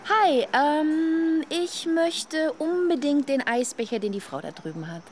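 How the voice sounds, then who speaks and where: A woman's voice: high voice, lively intonation, measured pace, a little hiss or hum. An actress playing a level-headed, teasing woman in a naturalistic radio drama, speaking in a wry conversational way. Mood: cheerful. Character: pregnant woman, level-headed, teasing her grumbling partner.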